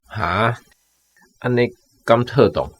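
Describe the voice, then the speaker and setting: An actor with a Taiwanese accent playing a hesitant, submissive farmhand in a radio drama. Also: male voice, mid-range voice, lively intonation, slow pace, breathy edge. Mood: doubtful. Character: meek, reluctant farmhand serving a rich master.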